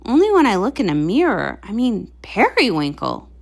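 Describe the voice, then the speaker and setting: A woman's voice: on the high side, wildly swooping pitch, normal speed, gravelly, heavily acted. A woman voicing a vain, fussy pony patient who complains dramatically in a comic read-along. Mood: fussy, dismayed. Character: vain fussy blue pony patient, fretting over its coat.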